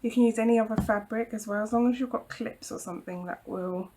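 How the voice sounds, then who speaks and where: A woman demonstrating a craft project at her home craft table, croaky from hay fever, talking in a chatty, reassuring way with frequent ums and apologies. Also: fairly high pitch, steady tone, fast talker, dark tone. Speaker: craft tutorial host. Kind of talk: casual hands-on craft demonstration.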